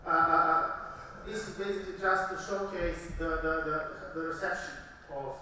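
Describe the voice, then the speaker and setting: Male conference presenter, Serbian accent, sharp forceful tone, halting delivery, heard in a very reverberant hall. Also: on the high side, steady tone. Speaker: startup founder giving a conference presentation. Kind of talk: public business pitch talk. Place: large indoor conference hall with seated audience. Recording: echoey hall, distant microphone, noisy.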